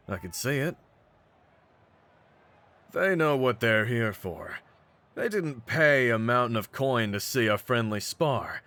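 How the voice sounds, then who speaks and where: A man plays a brawny pit-fighting champion with a deep voice and total self-belief. He talks in streetwise slang and swaggers through his lines, scornful of rivals and chummy with his partner, in the manner of a fantasy roleplay audio. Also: a bit bright, no echo. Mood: proud, hyped. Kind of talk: scripted in-character roleplay audio.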